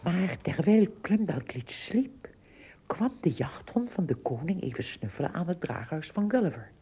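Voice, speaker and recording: A woman narrator tells a story for a radio drama. Her lowish voice is mellow and husky, with a very lively melody. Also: moderate pace.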